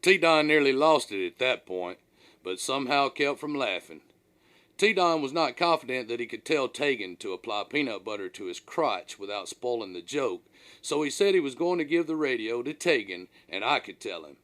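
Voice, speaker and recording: A man narrates a first-person tale as the author of an audiobook. His mid-range voice moves up and down a great deal, giving the scripted reading a dramatic, animated storyteller feel. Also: medium pitch, moderate pace.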